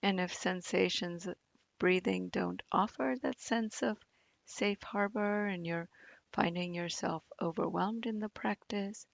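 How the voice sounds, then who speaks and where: A woman guides a meditation very slowly, in a fairly low voice. Her delivery is gentle and soothing, with long pauses and a gravelly fry at the edges. The sound is close and dry. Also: dry recording. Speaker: meditation teacher. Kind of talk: guided body scan meditation.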